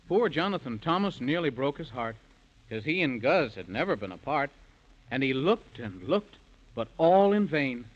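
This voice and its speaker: Lively high-voiced male storyteller in rhyme. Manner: theatrical, sing-song. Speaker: narrator. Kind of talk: 1930s radio children's storytelling in rhyming verse. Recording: muffled lo-fi 1930s radio recording.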